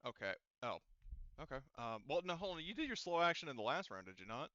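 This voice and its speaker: Guy running a ttrpg, crisp bright voice, talks thru the rolls as he goes, very dry muffled-ish audio. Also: fairly high pitch, big pitch swings, quick pace, no echo. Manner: thinks aloud while narrating dice results. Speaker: game master. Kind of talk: running an online tabletop roleplaying game, casual.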